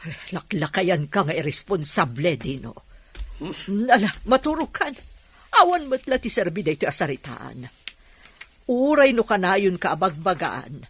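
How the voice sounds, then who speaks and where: A blunt, motherly older village woman in an Ilocano radio drama. Her pitch swings widely as she speaks in an Ilocano Filipino accent, over clear background noise. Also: big pitch swings, normal speed. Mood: scolding.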